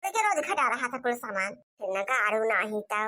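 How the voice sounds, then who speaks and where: A woman's voice: on the high side, sing-song, brisk, sharp tone, heavily acted. A woman with an Awadhi accent voicing a scolding, suspicious old village mother-in-law in a cartoon comedy. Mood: bitter. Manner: nagging, accusing.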